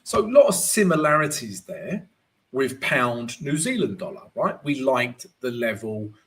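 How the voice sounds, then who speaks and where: A man with a gravelly voice today, hosting a live trading stream and walking viewers through charts. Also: medium pitch, a little slow. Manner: animated, keeps asking "right?", greets chat viewers. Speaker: forex trading livestream host.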